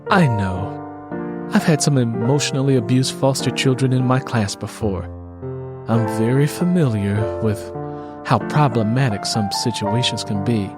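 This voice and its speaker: A man voicing a sympathetic, encouraging schoolteacher woman in an audio drama, gentle and consoling. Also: medium pitch, moderate pace, loud music behind the voice, close to an everyday voice.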